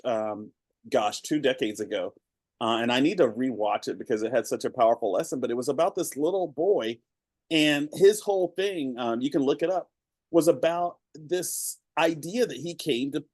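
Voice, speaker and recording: A man's voice: a little high, darkish tone, no room sound. A man from Arkansas, a guest in a podcast interview, talking in an earnest, reflective way and often repeating words as he starts a thought. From Arkansas, USA. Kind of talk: conversational interview.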